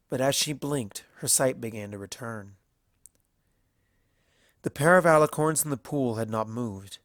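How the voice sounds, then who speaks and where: A male narrator reads fiction with deliberate, dramatic phrasing. His voice sits in a middle register, and the recording is close and dry, with no room sound. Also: medium pitch, no echo. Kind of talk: scripted story narration.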